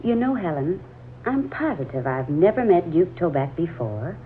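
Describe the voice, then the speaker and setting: A woman's voice: lowish voice, very animated, normal speed. An actress playing a wistful, troubled young woman in a hesitant, dreamy 1940s radio drama style. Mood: wistful, puzzled. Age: young adult. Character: wistful, troubled 23-year-old woman drawn to a stranger. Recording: muffled, noisy old radio drama recording.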